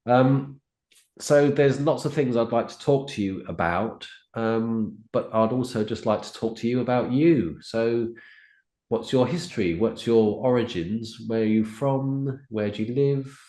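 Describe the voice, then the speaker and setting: A man's voice: medium pitch, a little slow, mellow. A man from the UK hosting an interview, asking friendly questions and repeating himself as he asks. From United Kingdom. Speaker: podcast host. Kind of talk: casual interview conversation. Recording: roomy echo.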